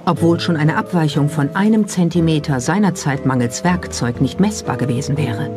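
A narrator reading a scripted documentary in a measured storytelling style. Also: mid-range voice, over music.

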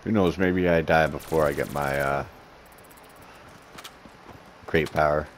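A man's voice: extremely low pitch, steady tone, slow. A man casually commenting over his gameplay, laid-back and self-mocking, talking straight to his viewers. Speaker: gaming commentator. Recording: faint background noise.

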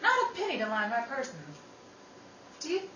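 Actress doing feisty young sister in old time radio show, really animated, echoey and a bit noisy. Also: female voice, high-pitched, heavily acted. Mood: wry, chatty. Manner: animated, brisk, dramatic. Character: Sarah, outspoken young working sister, quick-tempered, fond of her family. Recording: roomy, distant mic, noisy.

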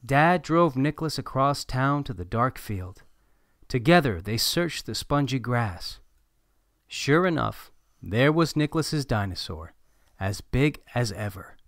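A man narrating a children's picture book aloud as a read-aloud host, in an animated, expressive storytelling manner. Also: medium pitch, a little slow, dry recording, studio quality.

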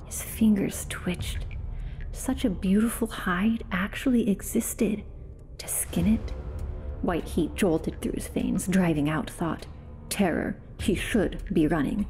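A woman reads a fantasy story aloud as a podcast host, dropping to a hush when the tension rises. Her voice is dark and mellow, and faint music plays behind her. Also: lowish voice, takes it slowly, in a whisper. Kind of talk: dramatic story reading.

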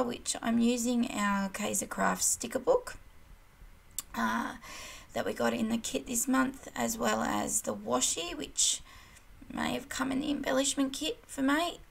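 A woman, croaky from losing her voice, chatting casually through a scrapbooking voiceover with lots of ums. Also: fairly high pitch. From Australia. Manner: chatty, rambling, self-deprecating, many ums. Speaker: kit club design team crafter. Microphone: voiceover recorded separately from hands-on video.

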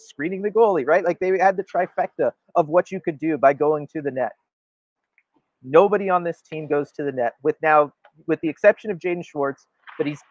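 A man's voice: on the high side, no room sound. Emphatic man, dull muffled sound. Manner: emphatic, often seeks agreement with "right?". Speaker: sports show co-host. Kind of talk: opinionated sports commentary. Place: indoor room, video call.

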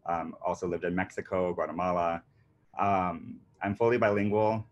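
Deep-voiced man on a dull video call. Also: steady tone, normal speed. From grew up in Panama. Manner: earnest, with many fillers and restarts. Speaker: marketing agency founder presenting a workshop. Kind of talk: webinar presentation. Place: indoors on a video call with a virtual beach background. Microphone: computer mic on a video call.

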